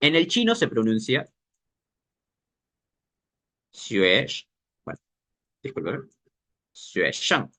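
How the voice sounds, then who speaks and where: A man leads an informal language workshop. He talks at a middle pitch and works through each point aloud, stopping now and then to search for the right word. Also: huge pitch swings, a bit bright. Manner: explains thinking aloud, pausing to search for words.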